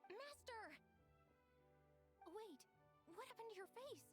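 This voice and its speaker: Tiny girlish game director, super high, drawn-out gleeful delivery, swoopy. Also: expressive, faint music behind, heavily acted. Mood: overjoyed. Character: small, excitable, gleeful, theatrical girlish film director. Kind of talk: animated game voice acting.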